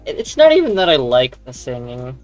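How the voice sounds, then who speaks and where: A man co-hosting a gaming channel, chatting casually and joking in a deadpan way. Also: mid-range voice, fairly quick, faint music behind. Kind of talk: casual banter during gameplay.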